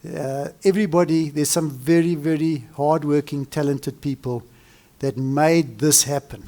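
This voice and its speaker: A man, the venue's owner, closing a formal welcome speech with measured, gracious thanks. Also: fairly high pitch, steady tone, warm tone.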